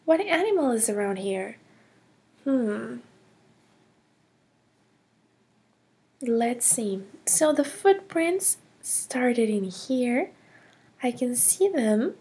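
A curious, cheerful girl, voiced by a woman narrates her own toy play adventure in a high, unhurried voice. She gasps often and drops into hushed whispers of wonder. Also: exaggerated voice. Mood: puzzled.

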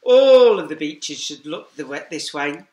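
A middle-aged man teacher reading a rhyming picture book aloud to young children in a lively sing-song way, with quiet asides to them, filmed on a laptop webcam in a classroom. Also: on the high side, a little slow. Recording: echoey classroom.

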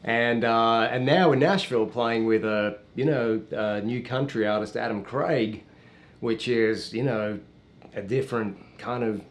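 A man in his thirties speaks with an Australian accent that has picked up a little American Southern drawl. He is a guest musician chatting in a studio interview and sounds friendly and easygoing. His voice sits at a medium pitch for a man, and he keeps dropping in "you know". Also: a little slow. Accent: Australian, with a slight American Southern drawl. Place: small TV studio with green screen.

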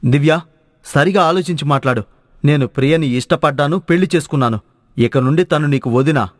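A man's voice: on the high side, brisk, no echo, clean studio sound, natural voice. A man voicing an earnest, caring young husband in a moral story. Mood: firm. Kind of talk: dramatized moral story dialogue.

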